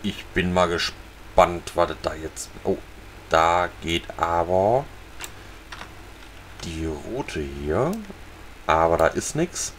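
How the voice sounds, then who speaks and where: Low-voiced German man playing a game. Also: slow, pauses often, slight background noise. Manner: relaxed, talks to viewers while fishing in a game. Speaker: gaming channel host. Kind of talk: casual let's-play commentary.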